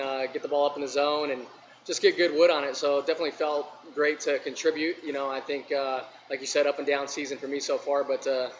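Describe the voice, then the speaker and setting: Quick, flat-voiced ballplayer. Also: male voice, a little high, dull audio, slight background noise. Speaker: baseball outfielder. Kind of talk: press conference answers. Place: press conference room, seated at table. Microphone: table mic.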